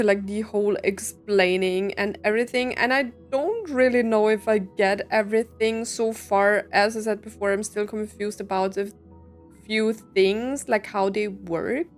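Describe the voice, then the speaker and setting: A woman giving casual gameplay commentary and reactions as a game streamer. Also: medium pitch. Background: game music.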